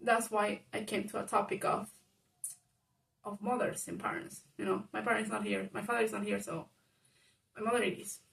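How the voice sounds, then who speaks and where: Loud-voiced woman with a gravelly edge. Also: mid-range voice, flat delivery, moderate pace, long pauses, far from the mic, low-quality recording. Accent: Spanish-speaking. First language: Spanish. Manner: rambling, lots of fillers, grows loud when reenacting arguments. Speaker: vlogger. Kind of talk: personal storytelling monologue.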